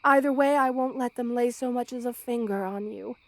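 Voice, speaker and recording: A woman plays a loving spider queen, a monster mother who is nurturing and gently regal. Her voice sits fairly high and addresses the listener tenderly and protectively. It is an intimate ASMR roleplay with a very dry sound, free of room echo. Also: a little fast, lightly acted.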